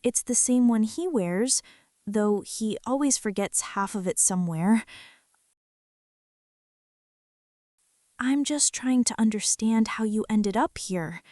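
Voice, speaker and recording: A woman playing a gentle, nervous mother in a soft-spoken ASMR roleplay, tender, hushed and hesitant as she reassures and fusses. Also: on the high side, unhurried, dry recording, studio quality, natural voice. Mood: concerned, pensive. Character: gentle, nervous mother fussing over a hurt boy.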